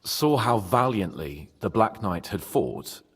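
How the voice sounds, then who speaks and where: A man playing an impulsive, expressive young medieval nobleman in a game. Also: on the low side, slow, slightly rough, in a large hall, exaggerated voice. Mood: earnest. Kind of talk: dramatic game cutscene acting.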